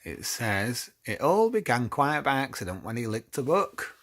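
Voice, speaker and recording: A man chatting casually as he reads a picture book with a young child, gently encouraging with short replies and questions. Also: mid-range voice, big pitch swings, normal speed. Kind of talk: casual read-aloud chat with a child.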